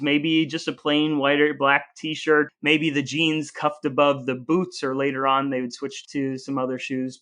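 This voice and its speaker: Male podcast co-host, American, slightly high voice, articulate and explanatory in relaxed discussion. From United States.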